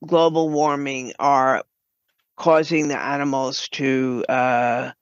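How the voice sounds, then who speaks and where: An older woman answers in an interview at a very slow, halting pace. Her voice is on the low side for a woman. The recording is a bit dull and lo-fi. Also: steady tone, clean tone, no room sound. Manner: halting, deliberate. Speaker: guest, game writer and producer. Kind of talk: conversational interview. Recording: slightly dull, lo-fi sound.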